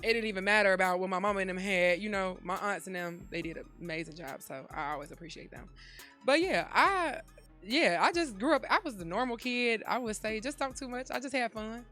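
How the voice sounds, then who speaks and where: A woman, a podcast guest, talking fast and chattily in a casual interview. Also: mid-range voice, lively intonation, bright, forceful tone, faint music behind. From Chicago south suburbs.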